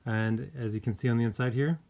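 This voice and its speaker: A man giving a casual hands-on gadget review, speaking informally and hesitantly with pauses mid-thought. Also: mid-range voice, steady tone, darkish tone. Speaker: product reviewer. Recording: clear background noise with clicks.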